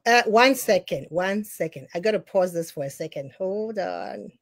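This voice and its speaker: A woman's voice: lowish voice, expressive, moderate pace. Woman interviewer with hesitant fillers. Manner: warm affirmations, hesitant fillers. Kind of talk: casual live interview.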